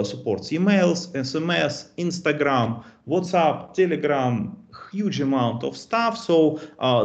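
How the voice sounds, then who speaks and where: Man giving a halting technical talk. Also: mid-range voice, darkish tone. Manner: halting, many filler sounds, non-native grammar. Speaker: meetup speaker. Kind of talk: conference talk on data modeling. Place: indoor room, video call. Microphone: computer mic on a video call. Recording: slightly dull video call audio.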